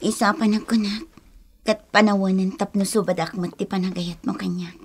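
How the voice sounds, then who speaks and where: A woman's voice: medium pitch, steady tone, moderate pace, a little mellow, slightly rough, close to an everyday voice. An actress with an Ilocano accent playing a troubled, bitter young unwed mother in a melodramatic radio drama style. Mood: subdued, wary. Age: young adult. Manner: melodramatic, aggrieved.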